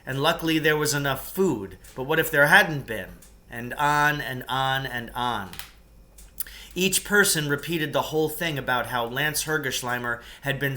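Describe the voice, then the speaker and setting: A man narrates a storybook with a strikingly bright, sharp-edged voice at a middle pitch. His reading is expressive and clearly paced out for listeners following a novel. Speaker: teacher reading a novel aloud.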